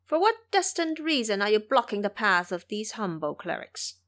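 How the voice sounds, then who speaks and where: Woman as cocky male monkey disciple, audio drama, taunting, boastful, animated intonation. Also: mid-range voice, moderate pace. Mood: proud, mocking.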